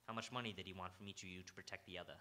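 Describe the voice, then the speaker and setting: A man's voice: sits low, fairly even intonation, racing, pushed and bright. A man playing a blunt, world-weary Broadway detective in an old-time radio crime drama, with terse, dramatic delivery on a live stage. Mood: grim. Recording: live stage recording with faint noise.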